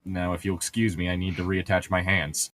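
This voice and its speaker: A podcast co-host with a husky voice, joking and teasing casually while reading a story aloud. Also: deep voice, level tone, a little fast, a bit bright, slight background noise. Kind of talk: casual joking commentary and mock dialogue.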